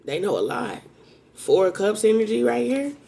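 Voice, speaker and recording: A woman giving a casual, rambling tarot reading to viewers, talking in a strong voice that jumps into sudden high exclamations. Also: very animated, moderate pace, gravelly, dark tone. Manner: self-described strong voice. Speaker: tarot reader.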